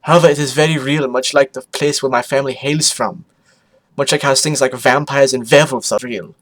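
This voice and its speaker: A man's voice: mid-range voice, fairly even intonation, fairly quick, natural voice. A man acts out a flamboyant vampire pony mother from a storybook reading. She speaks with a foreign accent. Her delivery is grand and emphatic, like a fashion celebrity holding court. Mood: matter-of-fact.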